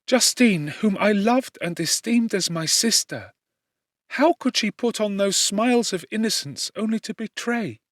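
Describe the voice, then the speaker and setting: A man voicing a tender, distressed young gentlewoman in an audiobook, speaking in an imploring, emotional and earnest way. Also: high voice, unhurried, dry recording, studio quality, voice pushed up. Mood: heartbroken. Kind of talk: dramatic audiobook character reading.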